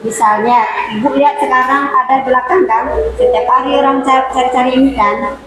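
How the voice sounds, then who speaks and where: A woman's voice: high-pitched, fairly even intonation, moderate pace. A woman teacher moderating a school meeting, explaining steadily and checking agreement with short questions. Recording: echoey room with loud background noise.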